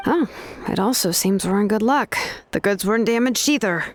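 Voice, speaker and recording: Woman playing a breezy, lively young adventurer. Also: medium pitch, normal speed, a little hiss or hum, lightly acted. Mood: relaxed. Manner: casual, breezy. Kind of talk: video game voice acting.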